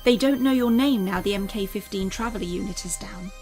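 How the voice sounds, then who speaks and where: A woman playing an earnest, secretive alien mother, speaking gravely and deliberately in an audio drama. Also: medium pitch, darkish tone, faint music behind, close to an everyday voice. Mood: urgent warning.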